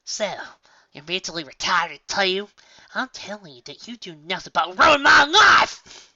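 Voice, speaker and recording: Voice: medium pitch, sing-song, measured pace, no echo, lo-fi sound, voice pushed up, heavily acted. A reader voicing a resentful young filly apparition who bitterly accuses her sister, in a storybook reading. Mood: scornful. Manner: bitter, accusing, rising to shouts.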